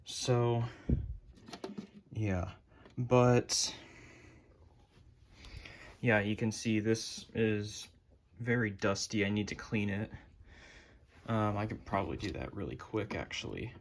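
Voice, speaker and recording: A man giving a casual, informal hands-on DIY demonstration as a hobbyist. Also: fairly low pitch. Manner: unhurried, informal. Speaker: hobbyist presenter. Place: his room at home. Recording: clearly noisy home recording with clicks.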